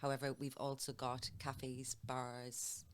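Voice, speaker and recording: A woman's voice: very deep voice, slow. Middle-aged woman explaining her hotel business. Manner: plain, steady explaining with listed examples. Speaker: hotel sales manager, interview guest. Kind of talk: explaining in an interview.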